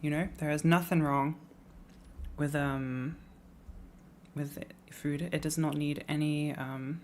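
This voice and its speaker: A woman's voice: low voice, fairly even intonation, takes it slowly. English woman, streamer, casual rambling talk, playful, faint background noise. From England. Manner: rambling, lots of likes and ums, playful rants. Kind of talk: casual livestream chat.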